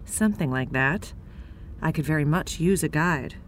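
A woman's voice: deep voice, lively intonation, moderate pace, a little hiss or hum. A woman acting a polite, slightly formal newcomer from New York City in an audio drama. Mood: gracious.

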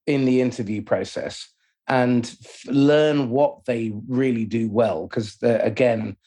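Husky-voiced male interviewer. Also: fairly low pitch, warm tone. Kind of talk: conversational interview, explaining and questioning.

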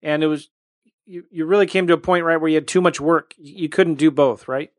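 A man's voice: fairly high pitch, fairly even intonation, a little fast, dry recording, clean studio sound. A man hosting an interview, chatting casually and tossing in tag questions. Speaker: podcast host.

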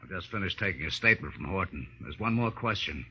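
An actor playing a brisk, questioning police lieutenant with a husky voice in 1940s radio drama. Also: male voice, deep voice, animated, heavily acted. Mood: brisk, skeptical. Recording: dull 1940s radio recording.

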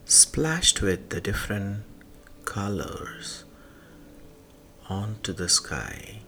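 A man guiding a sleep meditation in a hushed manner, leaving long pauses and letting phrase endings fade into breath. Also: fairly low pitch, sing-song, a little hiss or hum. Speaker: meditation guide. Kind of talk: slow guided sleep meditation. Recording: soft music behind the voice. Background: soft music.

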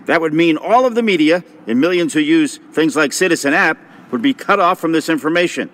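A male American reporter reads a scripted radio news piece. His voice is pitched a bit above average for a man. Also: animated, a little fast, fairly bright tone.

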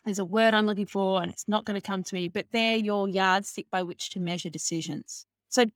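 A woman's voice: medium pitch, steady tone, a little fast, dry recording. A woman guest on a podcast who talks about business bluntly and cheekily, with strong emphasis. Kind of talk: casual interview chat.

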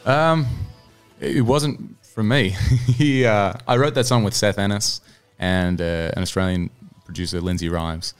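A man's voice: on the low side, fairly even intonation, measured pace, smooth, faint music behind. A man speaking as an interview guest, a singer-songwriter telling anecdotes in an easygoing, conversational way. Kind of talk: casual interview chat, anecdotes.

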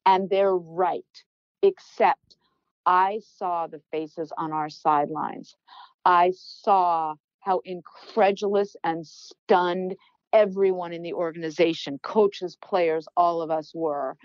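Loud, animated middle-aged woman. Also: lowish voice, slow, no echo, dull audio. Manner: loud, animated, gets worked up. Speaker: podcast guest, former team executive. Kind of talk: storytelling in conversation.

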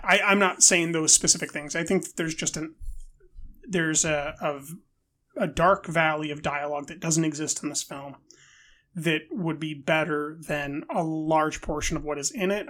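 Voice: medium pitch, a bit bright. A film podcast co-host in an analytical discussion, building wordy arguments with hesitant, repeated words.

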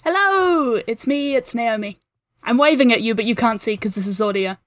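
A woman's voice: on the high side, lively intonation, normal speed, dry recording. A woman chatting as a podcast guest in a playful, wry and enthusiastic way. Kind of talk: casual chat.

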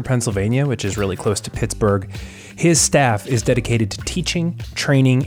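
A man's voice: medium pitch, a little mellow. A man hosting an interview podcast, reading an earnest introduction and then chatting with his guest. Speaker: podcast host.

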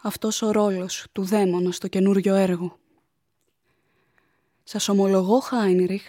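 A woman's voice: mid-range voice, dark tone, dry recording, studio quality, close to an everyday voice. A woman playing a pious, anxious young fiancée in a radio drama, speaking earnestly and formally with tender reproach.

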